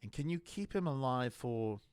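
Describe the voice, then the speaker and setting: A man voicing a cold, soft-spoken male church leader with quiet, measured menace. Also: mid-range voice, lively intonation, slight background noise, natural voice.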